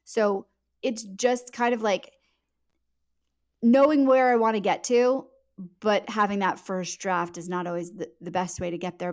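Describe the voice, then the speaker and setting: Female author guest, medium pitch, relaxed conversational interview delivery.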